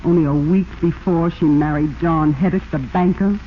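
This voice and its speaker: An actress playing a devoted, pleading young wife with an earnest, melodramatic 1940s radio delivery. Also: female voice, low-pitched, steady tone, normal speed, natural voice. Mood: earnest distress. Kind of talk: 1940s radio drama acting. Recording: muffled, faintly hissy 1940s radio.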